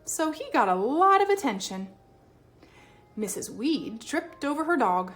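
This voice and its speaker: Animated woman reading a children's story. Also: high-pitched, faint music behind. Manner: animated, dramatic emphasis and pauses. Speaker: read-aloud storyteller. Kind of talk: expressive children's picture book read-aloud.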